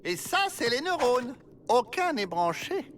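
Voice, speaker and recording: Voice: medium pitch, big pitch swings, a little slow, a bit bright, far from the mic. A cartoon outlaw sidekick, who states things plainly. The intonation bounces up and down over faint background music. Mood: matter-of-fact. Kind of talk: animated cartoon acting.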